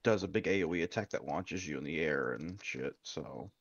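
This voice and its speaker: Gravelly low-voiced man on dull voice chat. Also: normal speed, a little hiss or hum. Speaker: friend on voice chat. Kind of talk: casual chat.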